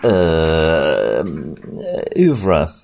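A man hosting a casual film discussion podcast, with a wry, jokey manner and a halting, hesitant delivery. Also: rumbling low, big pitch swings, gravelly, a little mellow. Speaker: podcast host.

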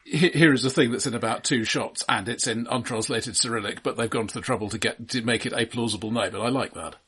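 A man co-hosting a film discussion in a measured, dry way, with stammered restarts. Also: medium pitch, fairly bright tone, husky. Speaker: film discussion podcast co-host. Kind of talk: casual film review chat.

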